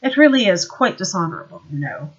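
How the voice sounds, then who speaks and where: A woman voicing a mild, fretful aging male captain who talks slowly, mournfully and politely. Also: on the low side, big pitch swings, breathy edge, lo-fi sound.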